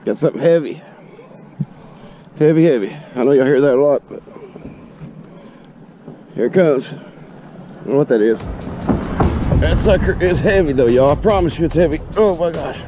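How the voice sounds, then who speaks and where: A man's voice: a little high, moderate pace, long pauses, dark tone, soft background music. Folksy Southern US man, casual outdoor vlogging. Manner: folksy, short offhand remarks. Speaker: video host, hobby magnet fisher. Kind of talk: casual outdoor vlog commentary. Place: outdoors on a bridge over a river. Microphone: body-worn action camera.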